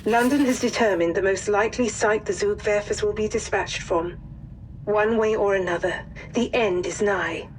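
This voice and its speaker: A composed wartime intelligence officer, played by a woman, briefs in a mid-pitched female voice. Her phrasing is formal, clipped and grave, in the manner of a cinematic game cutscene. There is faint noise behind her. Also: moderate pace, natural voice, low-quality recording. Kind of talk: formal scripted mission briefing, video game.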